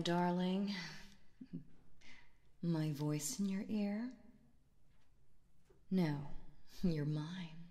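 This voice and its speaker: A woman playing a calm, possessive, dominant boss in an intimate ASMR roleplay, speaking in a coaxing, breathy voice that often slips into whispers. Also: fairly low pitch. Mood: commanding, coaxing. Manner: slow, coaxing, seductively dominant. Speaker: voice actor narrating roleplay. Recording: long reverb on the voice.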